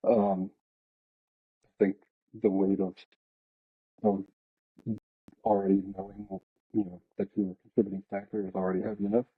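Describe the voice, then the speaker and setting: A man's voice: on the low side, expressive, moderate pace, vocal fry, long pauses, slightly rough, dry recording. An American man in casual podcast conversation, talking as an air traffic controller in an informal, hesitant, reflective way. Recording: slightly dull, lo-fi voice track.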